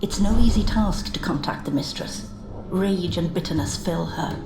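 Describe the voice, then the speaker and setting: A woman playing a solemn, cryptic spirit servant in a video game, speaking in a measured, formal, aloof way. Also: lowish voice, lightly acted. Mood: cool, measured. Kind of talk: video game character dialogue. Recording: echoing reverb, game music behind.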